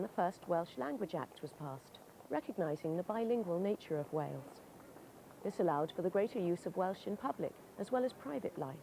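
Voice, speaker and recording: Woman, documentary narrator, lowish pitch, very lively intonation, mellow dark tone, formal measured reading. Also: a little hiss or hum, low-quality recording. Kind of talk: scripted narration.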